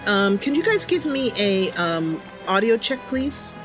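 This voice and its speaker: A woman streamer talks casually to her viewers, thinking aloud as she goes. Her pitch moves around a lot, and game music plays softly behind her. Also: very animated, unhurried. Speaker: live streamer and channel host.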